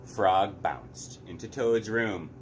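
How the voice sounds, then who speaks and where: Male read-aloud host, deep and unhurried, expressive story delivery over a faint steady hiss.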